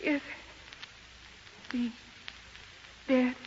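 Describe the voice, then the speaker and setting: A desperate young wife in an old radio melodrama. Her high voice pleads with frightened intensity. The recording is dull and faintly noisy. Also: little pitch movement, extremely slow. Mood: shaken. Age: young adult. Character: desperate, frightened young married woman in debt. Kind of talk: 1930s radio drama acting.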